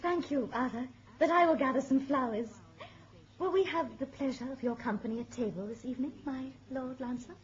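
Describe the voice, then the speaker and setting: A woman's voice: sits high, fairly quick, close to an everyday voice. An actress in a radio drama playing a gracious, playfully reproving queen with a genteel, poised manner. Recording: muffled radio recording with hiss.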